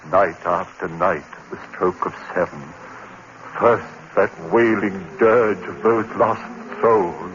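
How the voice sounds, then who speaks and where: A man's voice: sits low, a bit bright. An actor playing a tormented man haunted by voices and sliding into madness, in theatrical, anguished 1950s horror radio drama style. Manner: theatrical, anguished, halting delivery. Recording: muffled, noisy vintage 1950s radio recording.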